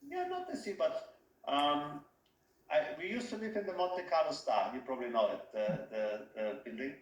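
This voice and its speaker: A man from Austria, a company head being interviewed, speaking in a halting way and often restarting his phrases. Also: fairly high pitch, very animated. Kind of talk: casual interview talk. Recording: roomy echo.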